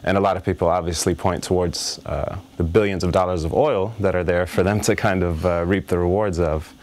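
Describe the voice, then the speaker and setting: A man answering interview questions in a thoughtful, measured way, with frequent fillers and restarts. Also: low voice, fairly even intonation, darkish tone. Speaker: interview guest, rapper.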